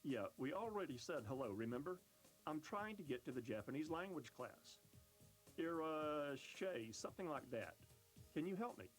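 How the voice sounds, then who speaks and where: A man's voice: on the high side, expressive, normal speed, soft background music, lightly acted, poor audio. An actor playing a bewildered male learner who is lost abroad, with a puzzled and exasperated manner.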